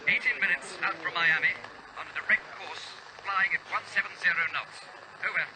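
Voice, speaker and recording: High-pitched panicked pilot played by a man. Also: a little fast, background noise, natural voice. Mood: urgent. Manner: urgent, terse radio calls. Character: panicked male pilot of a small plane in distress. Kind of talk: old-time radio drama acting.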